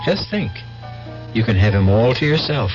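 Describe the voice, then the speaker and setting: A man's voice: mid-range voice, very animated, moderate pace. A man hosting a 1970s radio drama, addressing listeners in wry, scripted narration. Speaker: host. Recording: muffled vintage radio broadcast. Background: music.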